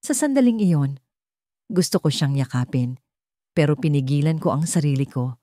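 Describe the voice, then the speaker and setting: A woman's voice: sits low, very animated, normal speed, warm tone, no room sound, clean studio sound. A woman narrating a scripted first-person story as a storyteller.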